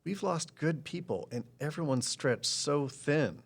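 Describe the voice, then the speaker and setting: A man's voice: on the high side, animated, measured pace, gravelly, breathy edge, exaggerated voice. A man playing a loyal, wistful office colleague in an audio drama, earnest and pleading as he speaks. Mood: wistful, insistent.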